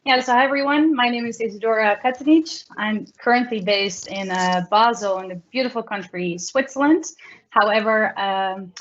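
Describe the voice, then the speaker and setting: A woman's voice: on the high side, normal speed. Upbeat woman introducing herself on a call. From Netherlands. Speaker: panelist, community organizer. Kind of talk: self-introduction. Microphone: video call. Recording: muffled video-call audio.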